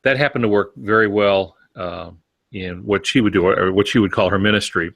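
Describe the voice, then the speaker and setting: A man speaking as a podcast panelist. His voice is fairly low and recorded dry, with little room sound. He offers advice in a measured, folksy, conversational way. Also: vocal fry, fairly bright tone, no room sound. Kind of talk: casual advisory discussion.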